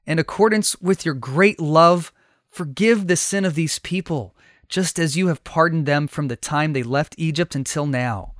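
A man's voice: a little high, normal speed, no room sound, studio quality, lightly acted. A man voicing a humble, earnest prophet pleading for mercy in a reasoned way, in a Bible story.

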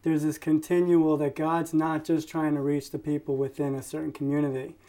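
A man from New York speaking on a discussion panel, soft-spoken and a little hesitant, often trailing off. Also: on the high side, little pitch movement, brisk.

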